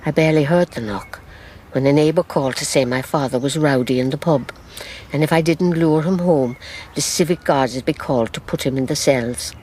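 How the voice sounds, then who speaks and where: An actress playing an elderly Irish grandmother in a radio drama, recounting her past in a measured, sorrowful, wistful way. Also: low voice, darkish tone, a little hiss or hum, lightly acted. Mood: haunted regret. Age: older.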